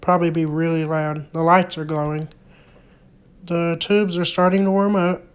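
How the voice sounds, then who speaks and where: A man's voice: on the high side, flat delivery, normal speed. A young adult man giving a casual, hands-on repair demonstration, explaining each step as he goes. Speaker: hobbyist vlogger. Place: indoor room at home, at a wooden table. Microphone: handheld phone close by.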